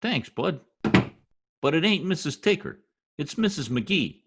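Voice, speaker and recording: A man's voice: on the high side, sing-song, unhurried, very noisy. A man playing a brash, folksy census taker in old-time radio comedy style, slangy, blustering and easily exasperated. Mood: breezy, self-important.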